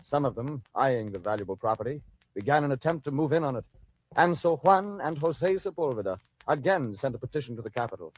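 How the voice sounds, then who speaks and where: A man's voice: medium pitch, expressive, fairly quick, darkish tone. A man delivering scripted narration for an old-time radio drama as its storyteller. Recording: dull, noisy old radio recording.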